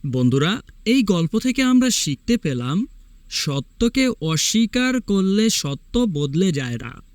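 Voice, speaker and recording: A man narrating a children's cartoon story in an animated storytelling style. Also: sits high, no room sound.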